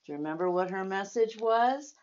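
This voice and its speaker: A woman's voice: mid-range voice, animated, fairly quick, darkish tone, lo-fi sound. A woman reading a story aloud to young children, addressing them directly with questions and emphatic phrasing. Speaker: children's storytime host at a church.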